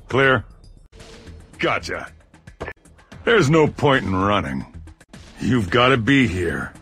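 An actor voicing a gruff, aggressive soldier giving terse, commanding squad callouts in a military video game, with a rough, husky voice. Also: male voice, mid-range voice, pushed and bright, exaggerated voice. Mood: menacing, hunting. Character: gruff armored male soldier, aggressive squad mate in combat. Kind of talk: video game combat voice lines.

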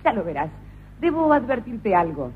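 A cruel, sadistic prison director, barking scorn and contradicting everything. The pitch swings widely and the sound is thin and phone-like. A faint hiss sits underneath. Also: female voice, very animated, moderate pace. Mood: domineering. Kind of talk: comic radio play acting. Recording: tinny lo-fi radio recording.

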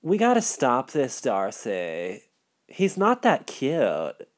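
A man voicing a weary, discontented young woman in audiobook dialogue, with a drawn-out, complaining manner. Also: mid-range voice, wildly swooping pitch. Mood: fed up.

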